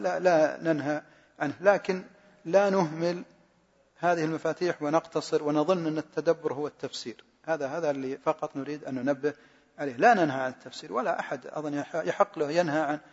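Fast-talking husky man in an echoing hall. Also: on the high side. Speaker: lecturer answering questions on Quran study. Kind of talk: informal religious teaching, question and answer. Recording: muffled, echoing hall recording.

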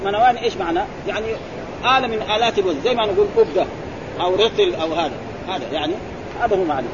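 A man teaching grammar, talking very fast and keeping his students on their toes with rapid prompts. His voice sits high for a man. The recording is dull and lo-fi, with murmuring people and street noise behind him. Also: a crowd in the background. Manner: quizzing students with frequent rising prompts. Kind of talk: classroom explanation.

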